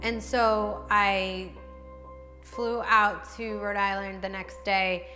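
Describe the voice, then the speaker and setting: Bright-voiced American woman, echoing room. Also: mid-range voice, flat delivery, slow. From Dominican Republic, raised in northeastern United States. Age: 30s. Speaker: professional bikini fitness competitor. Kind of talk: personal storytelling to camera. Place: large open indoor living area with kitchen. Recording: reverberant room, music underneath. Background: background music.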